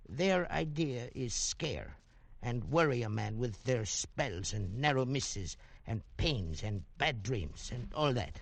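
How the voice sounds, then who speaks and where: An actor playing a sly, teasing trader in a 1940s radio drama, full of hesitant "uh"s. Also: male voice, mid-range voice, creaky, full character voice. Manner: hesitant, mocking, playful laughs. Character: sly Portuguese trader, middle-aged man, teasing.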